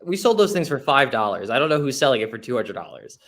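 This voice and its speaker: A man's voice: mid-range voice, sing-song, quick pace, a bit bright. A man speaking as an interview guest and musician, chatting casually in an informal, self-deprecating way. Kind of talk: casual conversational storytelling.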